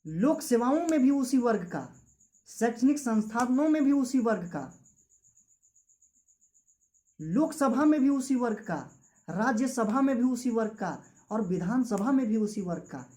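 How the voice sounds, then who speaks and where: A man's voice: very high voice, lively intonation, a little slow, long pauses, a little mellow. A young man with a Jharkhand Hindi accent giving an impassioned political speech as a student activist, with repetitive rhetorical phrasing. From Jharkhand, India. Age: young adult.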